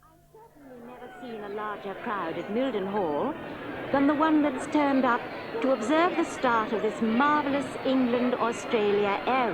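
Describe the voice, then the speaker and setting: A formal 1930s radio commentator at an air field. Her voice sits a little high and runs brisk and announcing. A loud crowd roars behind her.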